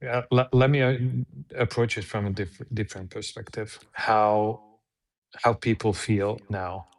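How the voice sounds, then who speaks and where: Interview guest: man, measured and halting, slow and flat. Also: fairly low pitch. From Hungary. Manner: measured, halting, frequent restarts. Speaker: guest, PR agency head. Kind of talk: conversational interview answers.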